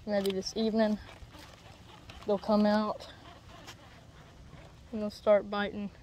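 A man's voice: high-pitched, monotone, slow pace, darkish tone, rough recording. A young teen boy, chatting plainly through a fishing vlog outdoors by a pond. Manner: chatty, plain, explains as he goes. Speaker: vlogger. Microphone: handheld camera, shifting distance. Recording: outdoor noise, camera mic.

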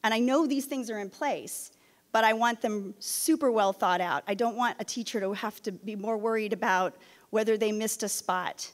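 A woman's voice: medium pitch, normal speed. A worried woman speaks with heartfelt concern at a desk microphone. Her voice sits mid-range, and a long reverb trails her words through a large boardroom. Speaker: school board member. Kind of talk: statement at a board meeting. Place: boardroom, indoors.

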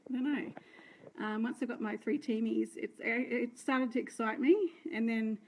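Chatty Australian woman, slightly croaky. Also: a little high, moderate pace, darkish tone, a little hiss or hum, low-quality recording. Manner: chatty, rambling, self-deprecating, greets viewers. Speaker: direct sales team leader. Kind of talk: unscripted live video talk. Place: indoor room by curtains. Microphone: phone held close, front camera.

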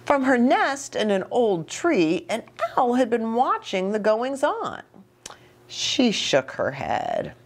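A middle-aged woman reading a picture book aloud to young children, animated, with chatty asides and questions for her listeners. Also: on the high side, slow pace. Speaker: children's ministry storytime host. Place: small indoor reading nook with bookshelf and curtains.

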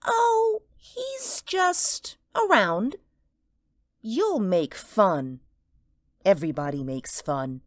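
A woman playing an excited, bossy and impatient little girl in a radio drama. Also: medium pitch, extremely slow, dry recording, studio quality. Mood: earnest, eager. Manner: impatient, emphatic, gushing.

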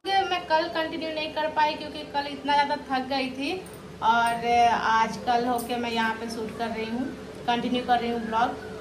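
Chatty high-voiced woman in an echoey kitchen. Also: normal speed, background noise. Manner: chatty, excited, addressing viewers directly. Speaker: vlogger. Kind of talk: casual cooking vlog chat. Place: home kitchen, indoors. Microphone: handheld phone camera. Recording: echoey kitchen with clattering pots.

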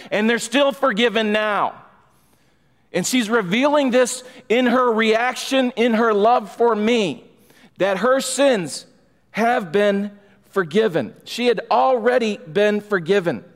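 Middle-aged man, pastor, emphatic pulpit preaching, slightly high voice, church hall reverb. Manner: emphatic, rising in fervor, gestures while preaching. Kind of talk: expository sermon. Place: church sanctuary, large room indoors. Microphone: pulpit microphone.

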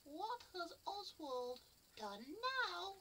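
A man voicing a scatterbrained, chatty sister in a comic phone call, dotty and exclaiming, with drawn-out words. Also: very high voice, sing-song, sharp tone, slight background noise, pitched above the performer's own voice, heavily acted. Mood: dotty, inquisitive.

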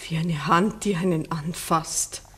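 An actress playing a brisk, anxious farmer's wife, curt and practical, in a 1960s radio play. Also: female voice, low-pitched, fairly bright tone, husky, heavily acted. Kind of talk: 1960s German-language radio play acting. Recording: old radio recording, faint noise, tableware clinking.

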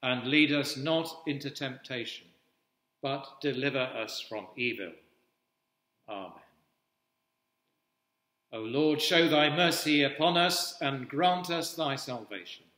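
Slow, solemn older priest in a reverberant church. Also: male voice, a little high, a bit bright. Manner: solemn, measured, formal. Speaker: Anglican priest leading evening prayer. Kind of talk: liturgical reading of traditional prayers. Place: indoors at a wooden-panelled cathedral altar. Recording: echoey church with long reverb.

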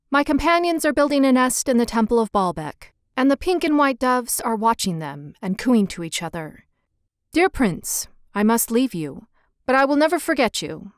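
Woman as a little storybook bird, male swallow, devoted, earnest, tender, raised pitch. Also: clear, resonant tone, no echo, clean studio sound, natural voice. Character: small, kind-hearted, devoted male swallow.

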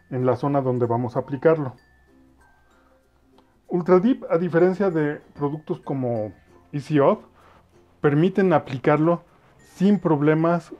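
A man's voice: fairly high pitch, highs cut off. A man with a Mexican accent casually demonstrating a cleaning product in a kitchen, explaining to viewers as he works, recorded on a phone. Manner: relaxed, explanatory, addresses viewers directly. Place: kitchen, indoors. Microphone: phone camera.

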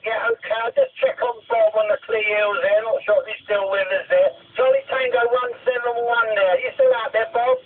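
A man's voice: very high voice, sing-song, a little fast, few pauses. A man working as net controller on a CB radio, calling stations in radio chatter. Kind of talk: radio call-sign chatter. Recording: noisy, tinny phone-like radio transmission.

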